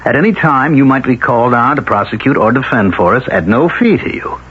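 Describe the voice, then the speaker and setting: An actor playing an elitist community elder in a 1970s radio drama, formal, measured and persuasive with quiet menace. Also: male voice, medium pitch, expressive, husky. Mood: smooth conviction. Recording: dull 1970s radio recording, faint noise.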